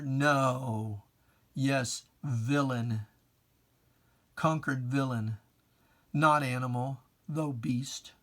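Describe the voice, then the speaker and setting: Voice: mid-range voice, fairly bright tone. A person giving a dramatic reading of religious verse in a solemn, declamatory and emphatic manner with archaic diction. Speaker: poetry reciter.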